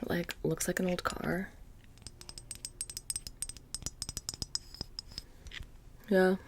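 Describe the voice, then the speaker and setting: A woman playing a bored, petty young witch shopkeeper in a soft-spoken ASMR roleplay, casually menacing and deadpan, breathy and often dropping into a whisper. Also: fairly low pitch, noisy background, natural voice. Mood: smug, nonchalant. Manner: deadpan, casual, many drawn-out pauses and filler "like".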